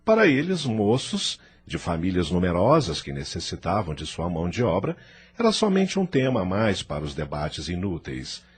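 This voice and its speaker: A male narrator reads an audio novel in a low voice. His intonation is animated, rising and falling widely through the scripted prose. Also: normal speed.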